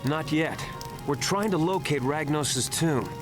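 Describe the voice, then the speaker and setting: A man's voice: a little high, unhurried, lightly acted. An actor playing a friendly, watchful male Jedi comrade in a video game, briefing with concern. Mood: concerned, alert. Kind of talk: video game cutscene acting. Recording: music behind the voice.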